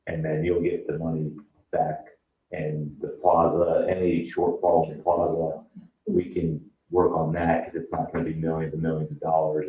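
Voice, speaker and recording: Very deep, flat-toned man. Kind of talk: informal explanation in a public meeting. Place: indoor municipal meeting room. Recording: echoey room, dull muffled sound.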